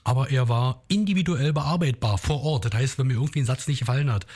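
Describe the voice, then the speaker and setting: A husky-voiced man from Berlin speaks with a Berlin accent as a musician guest. His pitch sits mid-range for a man. He talks in a relaxed, chatty way, often pausing and hesitating. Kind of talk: interview chat.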